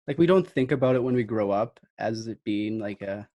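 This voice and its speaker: A man's voice: lowish voice, lively intonation, fairly quick, warm tone. A man from Canada hosting a podcast as an informal, slangy chat. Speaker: podcast host. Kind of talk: casual chat.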